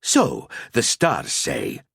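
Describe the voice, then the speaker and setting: Guy doing a cryptic old alchemist, slow mysterious riddles, husky, dry sound. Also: extremely low pitch, huge pitch swings, a bit bright, dry recording, heavily acted. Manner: slow cryptic prophecy with tag "eh?". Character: tiny old male alchemist, cryptic seer.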